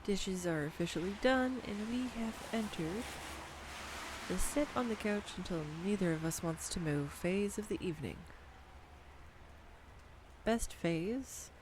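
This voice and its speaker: A woman's voice: mid-range voice, unhurried, long pauses, background noise. A young woman performing a scripted girlfriend roleplay monologue, chatty and giggly, talking to the listener. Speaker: voice actor playing a girlfriend. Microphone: studio condenser mic.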